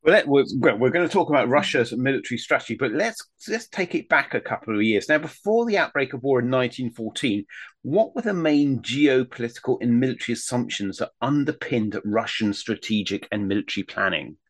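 A man with a husky voice, hosting an interview podcast with scripted introductions and questions. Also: medium pitch, expressive, brisk, dry recording.

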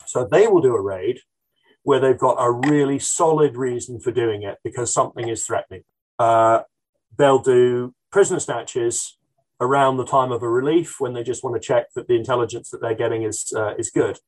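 A man's voice: mid-range voice, normal speed, low-quality recording. A man answering interview questions as a historian, thoughtful and hesitant, with frequent ums and restarts. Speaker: military historian guest.

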